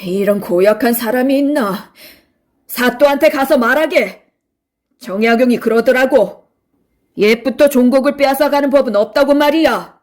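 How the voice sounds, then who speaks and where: A woman's voice: high voice, moderate pace, darkish tone, pitched above the performer's own voice, close to an everyday voice. A woman playing an upright male scholar in exile, firm and defiant, in a children's storybook. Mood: indignant. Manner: firm, stern.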